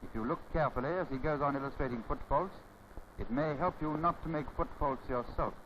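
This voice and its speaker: A man's voice: mid-range voice, a bit bright, lo-fi sound. Scratchy 1930s instructional film narrator, man. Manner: formal, didactic, measured phrasing.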